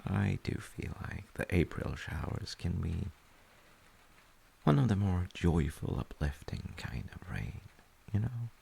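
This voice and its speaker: Guy playing a comforting dad in audio roleplay, super crackly husky voice, mellow, kinda hesitant and musing. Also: very animated, a little slow, exaggerated voice. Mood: musing, gentle. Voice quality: very deep, gravelly, husky. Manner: hesitant, musing, soft-spoken. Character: gentle, thoughtful dad comforting his child. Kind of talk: intimate audio roleplay, slow bedtime chat.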